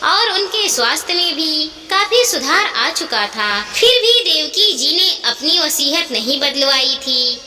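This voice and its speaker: A woman narrating a scripted moral story in an expressive, earnest way. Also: high-pitched. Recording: echoey reverberant sound, clear background noise.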